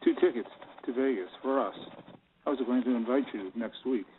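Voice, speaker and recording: An actor playing a callous, scheming banker husband in an audio play, persuasive and coaxing. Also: male voice, mid-range voice, fairly quick, close to an everyday voice. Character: callous, pleading, scheming bank-manager husband. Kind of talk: radio-play style acting. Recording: noisy, tinny phone-like line with clicks.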